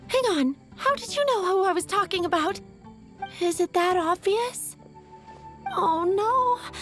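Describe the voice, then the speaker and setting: A woman voicing a dreamy, lovestruck young florist in a video game who talks in a wistful, flustered way. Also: very high-pitched.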